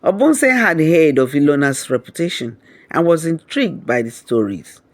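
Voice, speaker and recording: A man with a slightly high voice narrates a scripted folktale. His delivery is measured and dramatic, in the manner of a storybook reading. The sound is dry, with very little room to it. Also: expressive, no room sound.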